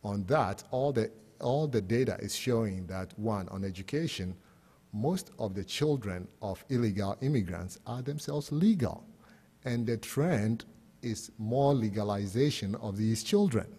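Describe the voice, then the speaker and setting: Low, mellow Ghanaian man in an echoey hall. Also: lively intonation, measured pace, husky. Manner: explanatory, plain-spoken, poses rhetorical questions. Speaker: academic panelist presenting on economics. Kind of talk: public panel presentation. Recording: echoey hall with long reverb.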